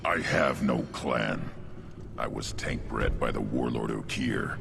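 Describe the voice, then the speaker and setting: An actor playing a young, proud alien warrior in a video game, with a gravelly growl and a terse, solemn manner. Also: male voice, extremely low pitch, slow, music in the background, heavily acted. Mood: solemn pride. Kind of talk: video game cutscene acting.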